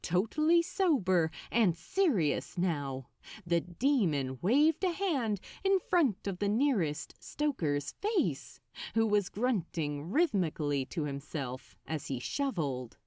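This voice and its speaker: A woman narrating scripted fiction dramatically, with theatrical, deliberate, suspenseful phrasing. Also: mid-range voice, sing-song, warm tone, no echo, studio quality. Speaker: audiobook narrator.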